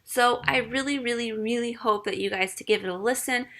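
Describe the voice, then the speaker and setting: A woman's voice: fairly high pitch, a bit bright, no echo. A woman in her twenties co-hosting a podcast, chatting casually and laughing at herself. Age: 20s. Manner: chatty, self-deprecating, quick to laugh. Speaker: podcast co-host. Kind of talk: casual chat.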